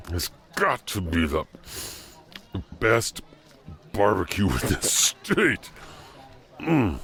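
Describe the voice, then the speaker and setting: A man's voice: fairly low pitch, creaky, a bit bright, full character voice. A man playing a folksy janitor storyteller, rambling and mumbling through mouthfuls of food as he hosts an audio drama anthology. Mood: gleeful, apologetic. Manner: chewing and mumbling between phrases, rambling. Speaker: host narrator of a horror anthology. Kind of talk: in-character scripted hosting.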